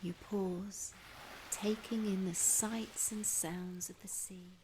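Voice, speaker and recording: A woman narrating a scripted guided imagery meditation in a soft, soothing, breathy voice, gently instructing with long pauses. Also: mid-range voice, steady tone. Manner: unhurried, with long pauses between phrases. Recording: clear background ambience noise.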